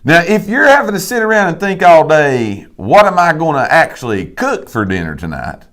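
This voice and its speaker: Male co-host, lively and emphatic, swooping pitch, slightly high. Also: normal speed. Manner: animated, folksy preacher-like emphasis. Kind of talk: casual live show chat.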